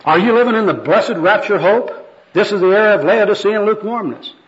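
Man acting earnest old evangelist, genteel, pompous, fervent, raised pitch, amateur skit, muffled lo-fi audio. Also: brisk, natural voice. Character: kindly, earnest old evangelist spouting church jargon. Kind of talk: amateur skit read aloud. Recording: dull, lo-fi recording.

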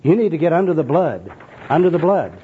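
Man doing a fervent, high-pitched religious zealot. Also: big pitch swings, a little fast, slightly rough, full character voice. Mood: fervent, insistent. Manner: florid, preachy, exclamatory. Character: fervent jargon-spouting male religious zealot, friendly but insistent. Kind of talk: comic skit acted out in a sermon. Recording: dull, muffled lo-fi recording.

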